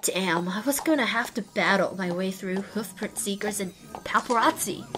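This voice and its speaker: A woman's voice: mid-range voice, moderate pace, close to an everyday voice. A woman playing a small, anxious outcast pony mare who tells her own story expressively and introspectively in an audio drama. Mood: tense recollection. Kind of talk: first-person dramatic audiobook narration.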